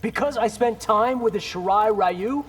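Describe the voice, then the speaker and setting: A man's voice: sits high, unhurried, slightly rough, in a large hall. A man playing a friendly, curious young warrior in a video game, talking in a casual, eager way. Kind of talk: video game fight dialogue.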